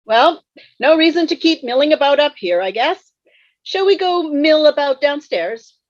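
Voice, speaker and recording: A woman playing a dry, deliberate ghost in an amateur table read over a dull video call. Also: high voice, lively intonation. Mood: matter-of-fact.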